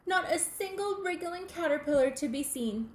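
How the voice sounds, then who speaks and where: A woman reading a children's picture book aloud, in a deliberate, expressive way aimed at young listeners. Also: extremely high pitch. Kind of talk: children's storybook read-aloud. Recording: echoey room with faint background noise.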